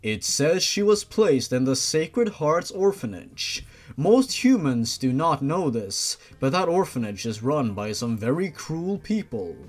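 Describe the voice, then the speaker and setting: A man voicing a stern, protective supernatural father in a read-aloud story, formal and measured. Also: on the high side, very animated. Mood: grave. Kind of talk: story reading in character.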